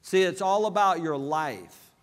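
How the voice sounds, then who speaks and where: A man from southern Alabama with a Southern American accent giving an energetic motivational business seminar, calling out to his audience for responses. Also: high voice, unhurried. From southern Alabama, USA. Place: large hall with live audience. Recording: reverberant hall.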